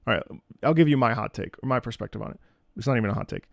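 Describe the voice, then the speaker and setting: A man's voice: mid-range voice, racing, gravelly, fairly bright tone, no echo, clean studio sound. A man streaming from home, chatting casually with viewers in a rambling, opinionated way, often repeating and restarting his phrases. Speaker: streamer and content creator. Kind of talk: casual livestream chat with viewers. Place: indoors at home.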